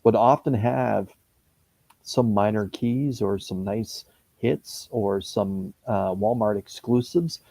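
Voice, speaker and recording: A Canadian man in his fifties, a comic book YouTuber talking casually in an interview, pausing often with fillers and restarts. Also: on the low side, creaky, dark tone, breathy edge, no echo. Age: 50s. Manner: hesitant, many fillers and restarts.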